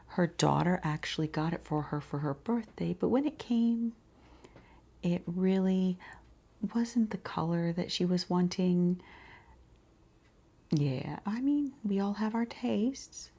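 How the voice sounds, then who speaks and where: A woman with a low, mellow voice and a creaky edge speaks quietly, as in an ASMR shop roleplay. She is soft and breathy, often dropping to a whisper, and takes her time with gentle pauses. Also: expressive. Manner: unhurried, gentle pauses, murmured acknowledgements. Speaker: ASMR roleplayer as boutique shopkeeper.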